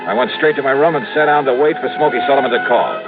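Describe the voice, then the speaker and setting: An actor playing a wry, skeptical, hard-boiled insurance investigator in an old radio detective drama. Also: male voice, medium pitch, fast, lightly acted. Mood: businesslike. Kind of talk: 1950s radio detective acting, first-person narration. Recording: tinny old radio recording with music.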